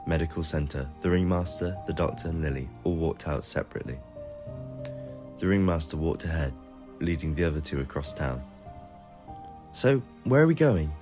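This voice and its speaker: A man's voice: rumbling low, a little mellow. A man reading scripted narration for an adventure audio story.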